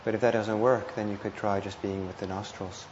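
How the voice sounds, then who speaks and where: A man with a fairly low voice leads a guided meditation in an echoey room. He speaks as a teacher, gently and a little hesitantly, leaving pauses between short phrases. The recording is somewhat muffled, with clear background noise. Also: little pitch movement. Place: indoor meditation hall. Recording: muffled, roomy live talk with noise.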